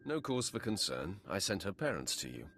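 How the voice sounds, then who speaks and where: An actor playing a cold, ruthless Sith warrior in a video game, speaking in a curt, commanding, threatening way. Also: male voice, sits low, sing-song, normal speed, fairly bright tone, soft background music, full character voice. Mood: cold, assured. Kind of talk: cinematic video game acting.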